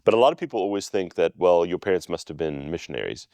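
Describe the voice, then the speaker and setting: A middle-aged man from Africa, a lead pastor telling his story in relaxed, joking conversation. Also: deep voice, quick pace, dry recording. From South Africa. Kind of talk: casual conversation.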